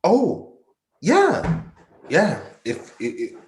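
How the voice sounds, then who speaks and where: A man's voice: on the high side, wildly swooping pitch, extremely slow, a little hiss or hum, heavily acted. A man acting a sleepy, casual character just woken up, in a staged play reading. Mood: groggy, casual. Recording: echoey room sound.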